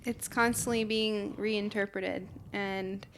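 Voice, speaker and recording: Young woman from new hampshire on a podcast, thinks out loud, kinda hesitant, lots of likes. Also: medium pitch, steady tone, slow, a little hiss or hum. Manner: thoughtful, hesitant, says "like" often. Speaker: podcast guest. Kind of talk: casual podcast chat.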